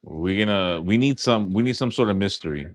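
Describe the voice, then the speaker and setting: A man's voice: lowish voice, animated, fairly quick, no room sound. A man in his thirties hosting a casual livestream, joking and rambling in slangy talk. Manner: joking, rambling, often says "you know what I'm saying". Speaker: livestream host.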